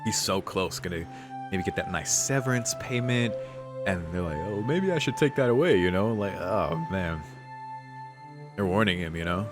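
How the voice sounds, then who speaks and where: A man's voice: low-pitched, expressive, normal speed. A man doing casual let's play commentary in a laid-back, slangy way. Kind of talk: casual gaming commentary, reading subtitles aloud. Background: game music.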